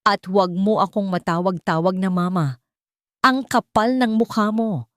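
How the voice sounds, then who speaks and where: A woman's voice: medium pitch, normal speed, no room sound, clean studio sound, close to an everyday voice. A woman voicing a disdainful rich mother-in-law in a drama story, imperious and contemptuous. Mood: furious contempt.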